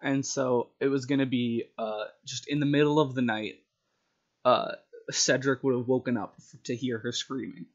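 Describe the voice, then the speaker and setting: A man's voice: mid-range voice, steady tone, moderate pace. Dull lo-fi man chatting through stories. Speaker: storyteller and tabletop game player. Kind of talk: casual chat recounting story ideas. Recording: dull, lo-fi sound.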